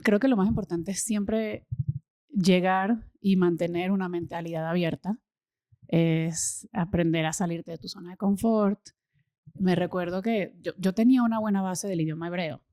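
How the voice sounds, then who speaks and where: Enthusiastic Venezuelan woman, low mellow voice. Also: steady tone, normal speed, no room sound, studio quality. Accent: Venezuelan Spanish. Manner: enthusiastic, searches for words with fillers and repeats. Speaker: guest, social impact consultant. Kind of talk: casual interview conversation.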